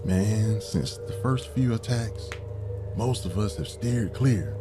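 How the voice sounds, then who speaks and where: A man's voice: on the low side, takes it slowly, music in the background. An actor playing a wary, streetwise homeless man in an audio drama, with a laid-back, suspicious manner. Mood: grim, suspicious.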